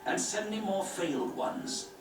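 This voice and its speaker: Imperious grandmaster, distant and echoey. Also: male voice, sits high, a little slow, a crowd in the background. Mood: commanding, impatient. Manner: commanding, formal. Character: imperious, impatient male grandmaster villain giving orders. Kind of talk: video game cutscene acting. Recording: distant echoey game audio with music.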